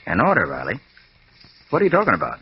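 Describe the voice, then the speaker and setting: An actor playing a brisk, confident American private detective in clipped old-time radio drama style. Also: male voice, mid-range voice, wildly swooping pitch, breathy edge, heavily acted. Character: brisk, self-assured male private detective. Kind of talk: 1940s radio detective acting. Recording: dull, noisy old radio recording.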